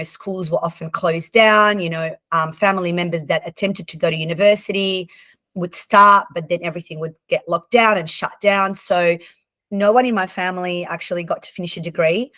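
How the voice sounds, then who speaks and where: A woman's voice: fairly low pitch, fairly bright tone, no room sound. A woman in her forties, born in Lebanon with Arabic and French as first languages, chatting candidly as a podcast guest with frequent ums and restarts. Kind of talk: casual conversational storytelling.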